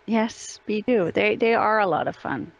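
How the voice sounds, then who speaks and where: A woman's voice: medium pitch, normal speed, creaky. A woman chatting casually as an interview guest. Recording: slightly dull lo-fi call audio.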